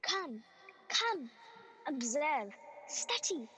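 A woman playing a commanding, detached ghostly spirit guide in a radio drama, imperious and deliberate. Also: sits high, sing-song, bright, forceful tone, exaggerated voice. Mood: stern, commanding. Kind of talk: stage-style radio drama acting.

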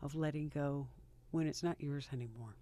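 A man from Rochester hosting a radio interview, asking curious, halting questions. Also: on the high side, warm tone. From Rochester, New York. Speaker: radio host. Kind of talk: interviewing guests.

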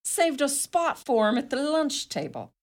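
High-voiced, sharp-tongued Irish mother. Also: very animated, measured pace. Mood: scornful, indignant. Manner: scolding, theatrical, scornful. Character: sharp-tongued, indignant Irish mother, middle-aged or older. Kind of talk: radio play acting.

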